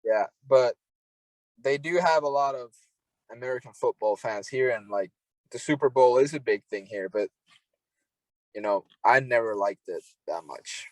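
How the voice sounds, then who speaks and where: A man's voice: mid-range voice, animated, unhurried, long pauses, darkish tone, dry recording, poor audio. A young man from Finland with a Finnish accent, chatting casually as a podcast guest, laid-back and agreeable, with lots of "you know.". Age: young adult. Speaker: podcast guest, hockey prospect. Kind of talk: casual interview chat. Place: home in Finland.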